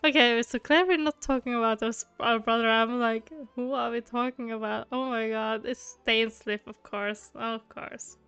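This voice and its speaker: Fairly high-voiced woman commenting on gameplay. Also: fairly even intonation, normal speed, hardly any pauses, a bit bright, smooth, dry recording, muffled recording. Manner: informal, self-deprecating, thinking aloud. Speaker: game streamer.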